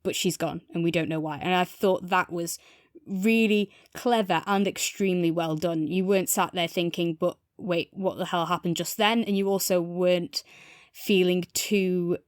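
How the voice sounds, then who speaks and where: A woman's voice: fairly low pitch, steady tone, moderate pace, no room sound, clean studio sound. A woman chatting casually and thoughtfully as a podcast co-host in a review discussion.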